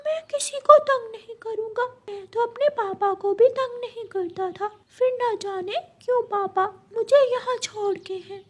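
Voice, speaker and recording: A woman's voice: very high-pitched, measured pace, few pauses, a little mellow, voice pushed up. A woman voicing a small, innocent baby bird in a childlike, whiny manner for a children's cartoon. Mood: sad. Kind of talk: children's cartoon story dubbing.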